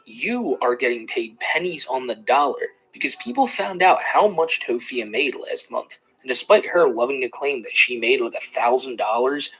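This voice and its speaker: A man's voice: mid-range voice, expressive, moderate pace, few pauses, fairly bright tone, breathy edge, low-quality recording. A man hosting a reaction commentary, talking in a sarcastic, critical way. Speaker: commentary channel host.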